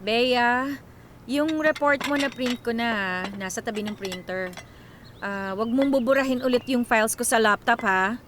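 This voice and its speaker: Woman acting high-voiced, overworked young Filipino daughter. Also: normal speed, a bit bright, slight background noise, lightly acted. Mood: composed, mildly scolding. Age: young adult. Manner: talks to herself, code-switches into English. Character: overworked young breadwinner daughter, anxious, self-sacrificing. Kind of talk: radio drama acting.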